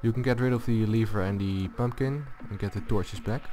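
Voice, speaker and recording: A man with a low voice giving a casual, unscripted gaming tutorial, thinking aloud as he goes. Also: a little fast, slight background noise. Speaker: video tutorial host. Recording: music behind the voice. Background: loud music.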